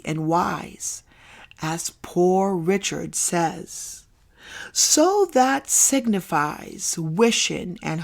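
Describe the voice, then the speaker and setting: A woman narrating an old essay as a scripted audiobook, with deliberate, emphatic phrasing and frequent pauses. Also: on the low side, creaky. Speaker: narrator reading an essay aloud.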